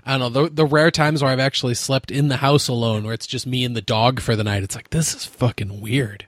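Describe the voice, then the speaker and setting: A young adult man hosting a casual podcast, loose and laughing easily, with a naturally loud voice and casual swearing. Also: medium pitch, fairly even intonation, a little fast, dry recording. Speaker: podcast host.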